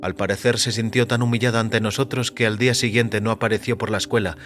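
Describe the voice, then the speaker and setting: Low-voiced man narrating fiction. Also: fairly even intonation, fast talker, a bit bright, soft background music. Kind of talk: scripted first-person story narration.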